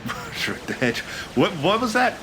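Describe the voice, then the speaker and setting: A man's voice: high voice, very animated, normal speed, noisy background. A man giving casual, joking banter as a let's play commentator. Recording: game music behind the voice.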